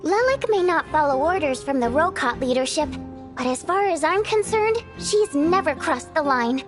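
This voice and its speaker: A woman acting an excitable, chatty young woman in English video game dialogue. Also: high-pitched, moderate pace, music in the background. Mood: thoughtful, earnest. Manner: animated, talkative, exclamatory.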